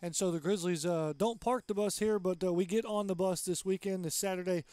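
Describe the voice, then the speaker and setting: Quick, high-voiced male sports host. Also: fairly even intonation, dark tone, clean tone, dry recording. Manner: brisk broadcaster delivery. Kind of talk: postgame interview hosting. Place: small studio with green backdrop. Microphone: headset mic.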